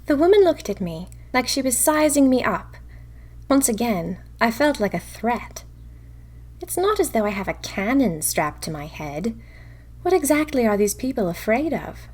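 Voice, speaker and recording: A woman's voice: on the high side, very animated, moderate pace, a little mellow. A woman playing a theatrical, wry young woman of the 1920s confiding anxiously in her diary for an audio drama. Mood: weary, wry. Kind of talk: first-person audio drama diary narration.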